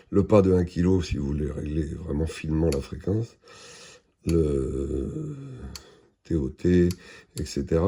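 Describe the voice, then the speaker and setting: Male product presenter, very dark husky tone, matter-of-fact and halting, unscripted demo. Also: a bass voice.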